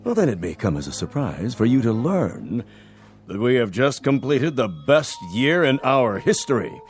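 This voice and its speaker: Radio drama: male actor as older executive, self-important, declamatory, extremely animated intonation. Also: medium pitch, faint music behind, lightly acted. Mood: mock stern. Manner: formal, declamatory, drawn-out. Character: pompous, theatrical older male office boss.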